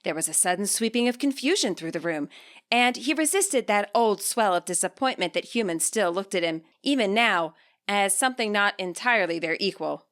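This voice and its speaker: A woman narrates a scripted podfic at a middling pitch. She reads in a measured way, enunciating each phrase clearly. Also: expressive, fairly bright tone. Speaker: podfic reader. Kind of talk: scripted narration, reading fiction aloud.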